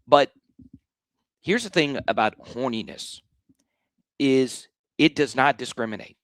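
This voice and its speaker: A man with a slightly hoarse voice hosting a live sports talk stream, blunt and animated, often restarting his sentences. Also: medium pitch, takes it slowly, dry recording. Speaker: sports show host.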